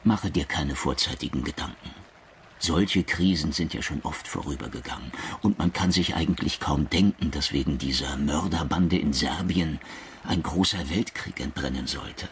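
A man's voice: rumbling low, normal speed, slight background noise. An actor playing a grave officer who confides his worries in intimate letters to his wife in a radio play. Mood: weary, reassuring. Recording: loud music bed under the voice.

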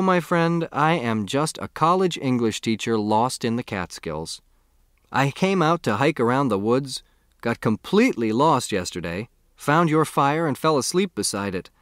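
A man's voice: mid-range voice, no echo, clean studio sound, pitched above the performer's own voice, lightly acted. A man voicing a good-humoured, easygoing English teacher in an audiobook story.

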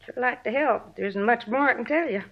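An American actress playing a gossipy, plain-spoken middle-aged married neighbor in a 1950s radio drama. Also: female voice, a little high, fairly quick. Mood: mildly defensive. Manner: folksy, colloquial, gossipy. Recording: dull vintage radio recording.

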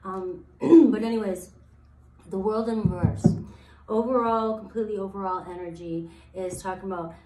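A woman in her thirties with a slightly raspy voice, giving a casual tarot reading and rambling through her thoughts with many fillers. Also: mid-range voice, steady tone, normal speed, noisy background. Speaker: tarot reader. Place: sparse room, indoors, seated at floor level. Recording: echoey empty room.

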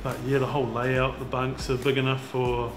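A young man with a New Zealand accent, chatting casually about a fishing trip, relaxed and full of fillers. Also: mid-range voice, normal speed. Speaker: fisherman. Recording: roomy sound with music behind.

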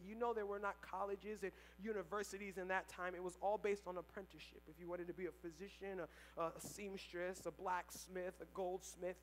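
A young man preaching a sermon on a church stage through a headset mic, swinging between loud emphatic lines and quiet asides. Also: high voice, poor audio. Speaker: pastor. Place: church stage, large indoor hall.

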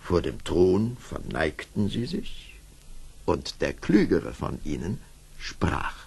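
A man narrating a children's fairy-tale radio play in an expressive storytelling manner. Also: sits low, slow pace, vocal fry, breathy edge, full character voice.

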